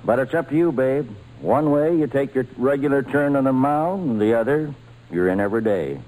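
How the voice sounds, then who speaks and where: Male actor as team manager: mid-range voice, avuncular, steady, persuasive, old-time radio play. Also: medium pitch, brisk, natural voice, low-quality recording. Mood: reassuring, persuasive.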